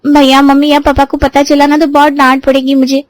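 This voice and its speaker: A woman's voice: high voice, completely flat delivery, brisk, dry recording, pitched above the performer's own voice, natural voice. A woman voicing a chatty young sister-in-law who wheedles and pleads nervously. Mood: nervous pleading.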